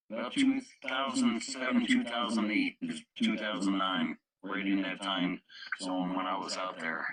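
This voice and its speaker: A man's voice: lowish voice, low-quality recording. A man chatting casually on the radio, recounting things in a rambling, matter-of-fact way. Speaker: radio club participant. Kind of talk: casual radio chat, anecdotal.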